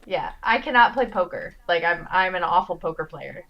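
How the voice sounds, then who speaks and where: Brief: young woman guest, expressive and chatty, slightly muffled lo-fi mic. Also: fairly low pitch, moderate pace. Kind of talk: casual chat. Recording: dull lo-fi mic.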